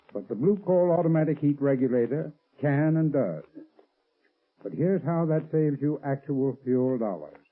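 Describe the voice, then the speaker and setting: Formal man on tinny vintage radio. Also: mid-range voice. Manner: measured, instructive, formal. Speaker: sponsor's heating expert. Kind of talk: scripted 1930s radio advertising talk. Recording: tinny band-limited vintage radio recording.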